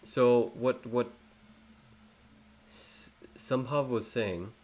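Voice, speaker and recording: A man's voice: mid-range voice, extremely slow, a little mellow. A young man with an English accent, rambling through a live trading tutorial with many fillers and self-corrections. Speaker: trading educator. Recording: faint background noise.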